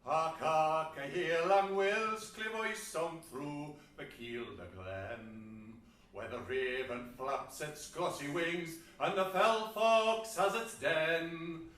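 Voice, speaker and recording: Slow, echoey man singing folk. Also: on the high side, fairly bright tone. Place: folk festival hall. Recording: echoey hall, distant from mic.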